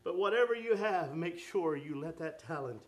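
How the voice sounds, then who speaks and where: A man's voice: on the high side, lively intonation, normal speed. A man preaching a sermon, fervent and exclaiming "oh my" and "amen" as he goes.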